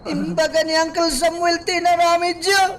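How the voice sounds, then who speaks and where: An actress voicing a grieving son who accuses his mother of killing his father, crying and shouting. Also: extremely high pitch, little pitch movement, normal speed. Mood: sobbing. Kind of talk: radio drama acting. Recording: distant voice under loud music.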